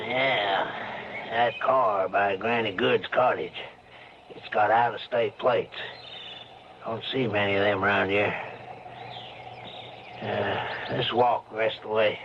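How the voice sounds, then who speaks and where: A man's voice: lowish voice, steady tone, slow, pauses often. A man playing a plainspoken country sheriff in a radio drama, folksy and watchful as he questions people. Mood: businesslike. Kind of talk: old-time radio drama acting. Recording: muffled, noisy old radio recording.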